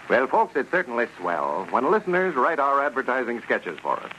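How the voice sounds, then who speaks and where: A man's voice: mid-range voice, pushed and bright. A man announcing in old-time radio style, with a polished, cheerful scripted sales delivery. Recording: muffled, noisy vintage radio recording.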